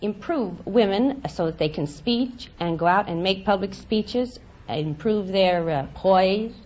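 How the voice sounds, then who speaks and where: A woman's voice: medium pitch, animated, a little slow, darkish tone. A woman from Staten Island, a housewife chatting eagerly and at length with a quiz host. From Staten Island, New York. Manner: eager, talkative. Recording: muffled 1950s radio transcription.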